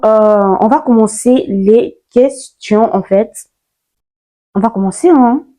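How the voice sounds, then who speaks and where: A woman's voice: a little high, takes it slowly, dark tone, faint music behind. A nineteen-year-old woman from Ivory Coast, chatting playfully and sassily to camera in a casual vlog. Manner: chatty, playful, sassy, mixes slang. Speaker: YouTuber.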